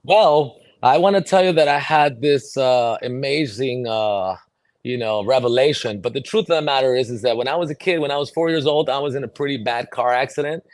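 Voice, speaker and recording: A man's voice: mid-range voice, a little fast, no echo. Middle-aged man, close dry sound, earnest. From New York City, born in El Salvador. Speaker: podcast guest, mobility fitness trainer. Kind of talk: conversational interview answers.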